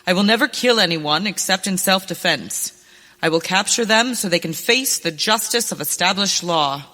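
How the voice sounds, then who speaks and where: A woman acts out a resolute young ranch woman bound for justice in a western radio drama. Her voice is bright and forceful, theatrical and earnest. It rings through a long hall reverb. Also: on the low side, animated, moderate pace. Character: determined young rancher woman becoming a masked western avenger. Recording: reverberant hall, stage performance.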